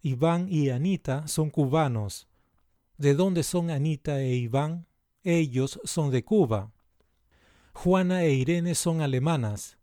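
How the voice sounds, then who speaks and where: A man reading out simple practice sentences in a slow, deliberate, evenly paced way, like a language lesson recording. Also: a little high, dark tone, dry recording, clean studio sound. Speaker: language teacher. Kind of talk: slow scripted language drill.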